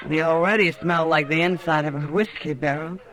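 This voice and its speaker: A woman's voice: deep voice, fairly even intonation, brisk, crowd noise, close to an everyday voice, poor audio. A woman with an American accent playing a friendly, teasing Old West saloon woman in old-time radio style. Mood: teasing, familiar.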